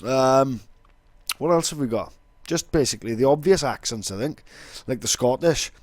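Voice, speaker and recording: A man's voice: medium pitch, lively intonation, moderate pace, a little mellow, no echo. A young man with a Welsh accent, casually explaining in a rambling, sweary way with lots of fillers. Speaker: vlogger.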